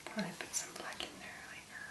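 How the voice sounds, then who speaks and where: A woman speaking in a breathy, whispery voice, gently and unhurriedly murmuring step by step through a soft-spoken ASMR tutorial as its host. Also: quick pace, echoey room.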